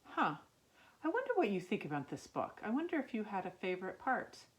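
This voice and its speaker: A woman reading a children's picture book aloud as a storyteller, expressive and musing, as if wondering aloud to young listeners. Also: medium pitch, fairly quick. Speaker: youth ministry storyteller.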